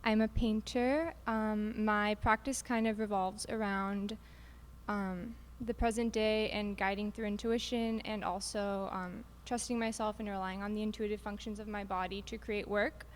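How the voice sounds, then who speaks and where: A young woman painter speaks in an even, flat tone, with a faint hum under her voice. She keeps it casual, saying "like" and "kind of" often. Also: mid-range voice, moderate pace. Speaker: painter on a panel. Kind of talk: casual self-introduction. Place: art gallery.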